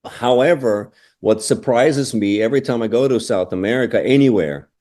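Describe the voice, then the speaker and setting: Casting: middle-aged man from austria, warm dark tone, reflective guest, lots of "you know". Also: lowish voice. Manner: thoughtful, restarts phrases, frequent "you know". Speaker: interview guest, drummer. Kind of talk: casual interview answers.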